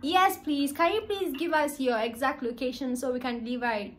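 A woman's voice: high voice, brisk, slight background noise. A young woman in her twenties with a Ghanaian accent, making a polite but insistent customer-service style phone call at arm's length from a phone mic in a living room. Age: 20s. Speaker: prank caller, vlogger. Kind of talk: phone prank call posing as a delivery service. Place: small living room, indoors.

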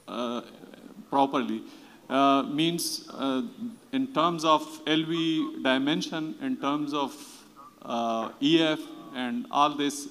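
A man's voice: on the high side, a bit bright. A man in the audience politely asking a panel a medical question, hesitant with many fillers. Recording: long hall reverb.

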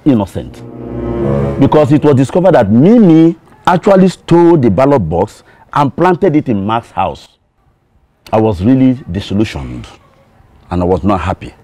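A man acting as a solemn, pompous Nigerian compound boss, giving a formal, grandiloquent speech in deliberate phrases. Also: mid-range voice, warm tone. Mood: grave, disappointed. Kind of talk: formal speech in film drama.

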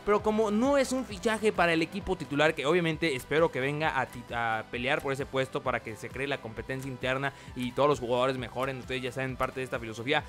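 A young man narrates his football video game like a match broadcaster. He talks rapidly in a bright, sharp, fairly high voice. Faint game music plays under the commentary. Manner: fast, chatty, jokes at himself, bursts of shouting at goals. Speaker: gameplay commentator.

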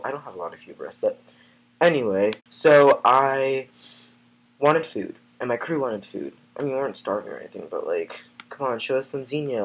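A man's voice: mid-range voice, husky, rough recording. A man playing a vain, boastful Greek hero as a vlogger, talking casually with lots of fillers in a comedic parody. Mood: chatty. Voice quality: casual, filler-heavy, smug delivery.

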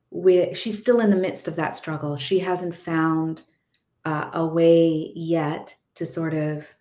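A woman's voice: on the low side, warm tone. A woman from Jamaica, a filmmaker on a panel, talking emphatically and searching for words over a webcam video call at home. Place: home room indoors. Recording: echoey room on webcam.

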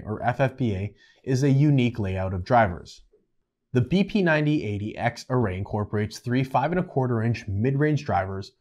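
A young man presents a scripted product review from a desk in a studio. His voice sits at an ordinary middle pitch. He reads to camera at an even, upbeat pace. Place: studio, seated at a desk before a purple backdrop.

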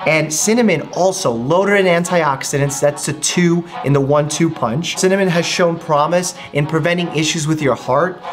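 A man's voice: a little high, normal speed, few pauses, soft background music. Upbeat young man explaining healthy snacks. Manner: upbeat, explains health benefits in an easy conversational way. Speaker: doctor and channel host. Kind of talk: casual explanatory food vlog. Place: home kitchen, indoors.

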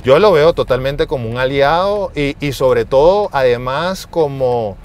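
A man's voice: mid-range voice, expressive, measured pace, darkish tone. A man in his forties speaking emphatically as a guest in a conversational interview. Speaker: interview guest, financial executive. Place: inside a moving car. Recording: faint vehicle and street noise.

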